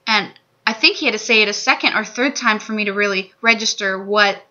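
A woman's voice: medium pitch, fairly quick, pushed and bright. A woman in her twenties telling a personal story candidly to camera in a small room on a webcam mic. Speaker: vlogger sharing a personal testimony. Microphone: webcam or laptop mic at desk distance. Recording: dull lo-fi webcam audio.

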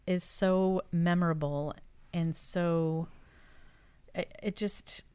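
Middle-aged woman gushing about old memories, smooth voice a bit low, dry close mic. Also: fairly even intonation, takes it slowly, mellow, dry recording. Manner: chatty, enthusiastic, nostalgic reminiscing. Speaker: podcast co-host. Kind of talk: casual chat. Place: home studio room with shelves of collectibles. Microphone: studio mic on boom arm.

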